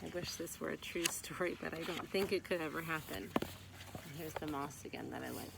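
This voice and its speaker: A woman reading a children's picture book aloud in an expressive storytelling way, stretching out some words. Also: low-pitched, moderate pace, creaky, very noisy.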